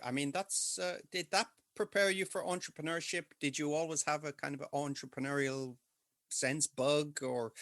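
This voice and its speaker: A man hosting an interview, asking halting questions and murmuring agreement as he listens. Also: a little high, fairly bright tone, no room sound, studio quality. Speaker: podcast host, interviewer. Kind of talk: conversational interview.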